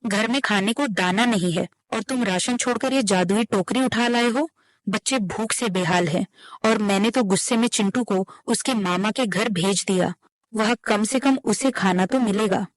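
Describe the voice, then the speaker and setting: A woman's voice: medium pitch, normal speed, dry recording, lightly acted. A woman playing a poor, worried village wife and mother in a cartoon story, plaintive and nagging. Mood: angry. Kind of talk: cartoon moral story acting.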